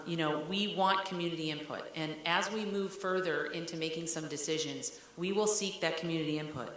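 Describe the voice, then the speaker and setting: A woman's voice: lowish voice, pushed and bright. A woman speaking candidly and conversationally as a school district leader at a public meeting. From Ohio, United States. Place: large meeting room. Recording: very echoey room with hiss.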